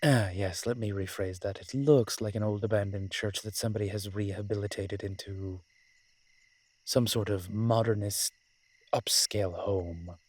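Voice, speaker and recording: A man playing a wry, flirtatious immortal incubus demon in a roleplay audio drama, with a husky voice and a dry, teasing, conversational manner. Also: fairly low pitch, fairly even intonation, normal speed, a little mellow, natural voice. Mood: wry, stalling.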